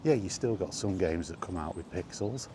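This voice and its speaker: A middle-aged man in a casual, rambling vlog chat, speaking conversationally with halting pauses while out walking. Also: deep voice, expressive. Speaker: gaming vlogger. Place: outdoors, walking. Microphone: microphone with wind guard. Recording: windy outdoor recording, background noise.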